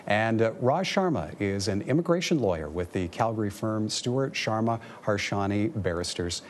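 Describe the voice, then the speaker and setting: A man's voice: lowish voice, expressive, moderate pace, slight background noise. A man hosting and moderating a TV panel, polite and a little stammering. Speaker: TV show host, moderator.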